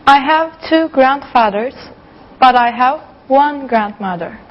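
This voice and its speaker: A woman's voice: high-pitched, slow pace. A woman playing a cooperative young English student, speaking careful simple sentences in a scripted classroom dialogue. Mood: cheerful, careful. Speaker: language student. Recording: slightly dull lo-fi audio.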